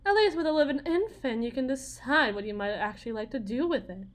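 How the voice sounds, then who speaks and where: A woman playing a desperate, cunning battered young mother bargaining in a dramatic audio roleplay, halting, wry and theatrical. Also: sits high, animated. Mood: coaxing, bargaining. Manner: halting, wry, theatrical, with repeated words and pauses.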